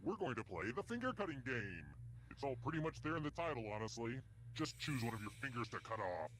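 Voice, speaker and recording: An actor playing a gleeful, sadistic killer who hosts a deadly trivia video game with theatrical, mock-polite sarcasm. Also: male voice, medium pitch, sing-song, quick pace, close to an everyday voice. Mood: cheerful menace. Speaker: game show host villain. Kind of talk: scripted video game host narration.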